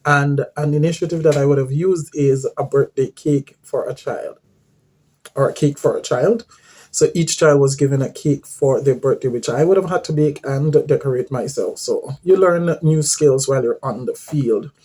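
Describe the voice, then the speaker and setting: A man shares his missionary story in an earnest, measured way. His voice is dark and slightly husky, and he often pauses with fillers and restarts. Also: mid-range voice. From Guyana. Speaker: former volunteer missionary. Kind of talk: personal testimony to camera.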